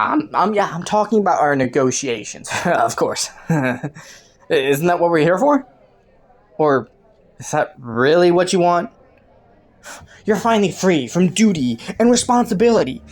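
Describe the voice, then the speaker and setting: A woman's voice: deep voice, lively intonation, unhurried. A woman playing a flirty, taunting underworld criminal in a roleplay audio, with a playful, teasing drawl. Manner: playful, teasing drawl with frequent soft chuckles.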